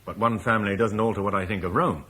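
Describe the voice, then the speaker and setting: An actor playing a blunt, forceful military commander from Cornwall who scorns Rome, in a classic radio drama. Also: male voice, lowish voice, steady tone, fast, slightly rough. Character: blunt British war leader, scornful of Rome.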